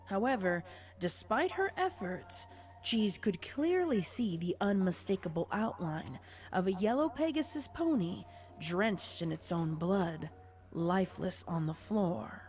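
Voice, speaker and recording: Low-voiced woman narrating a story. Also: expressive, a little slow, darkish tone, faint music behind.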